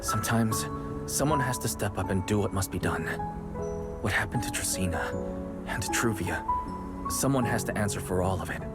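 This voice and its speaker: An actor playing a loyal, stubborn young partner in crime in a video game, speaking with earnest, determined emotion. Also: male voice, mid-range voice, close to an everyday voice. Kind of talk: dramatic video game voice acting. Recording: loud music behind the voice.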